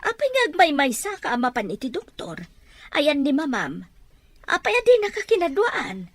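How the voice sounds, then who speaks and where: Nosy auntie for radio serial: fussing, high voice with animated swings. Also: female voice, moderate pace, dry recording. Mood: probing, concerned. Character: inquisitive, fussing auntie neighbour. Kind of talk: radio drama acting.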